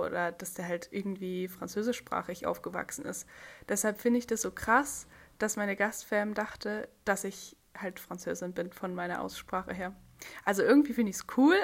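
A woman's voice: medium pitch, fairly bright tone. A young woman from Germany chats alone on her podcast in a loose, friendly way. She laughs a lot between thoughts and keeps circling back with fillers and false starts. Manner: chatty, giggly, with many fillers and restarts. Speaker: podcast host. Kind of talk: casual solo podcast chat.

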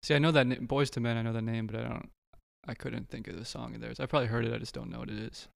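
A man's voice: mid-range voice, steady tone, rapid-fire, vocal fry, dry recording, clean studio sound. A man hosting a podcast, talking in a laid-back way and swearing freely. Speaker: podcast host. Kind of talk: casual interview chat.

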